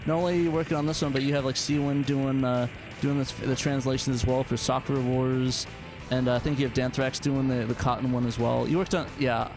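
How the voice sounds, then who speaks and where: A man's voice: mid-range voice, flat delivery, fairly quick, rough recording. A man hosting a casual chat, enthusiastic and often stumbling over his phrases. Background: game music and sound effects.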